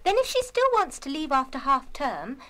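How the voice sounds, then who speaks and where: A woman's voice: high voice, very animated, moderate pace, sharp tone, exaggerated voice. An actress playing a fair-minded, decisive schoolgirl leader in a radio play, earnest and persuasive. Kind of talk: full-cast children's radio play acting.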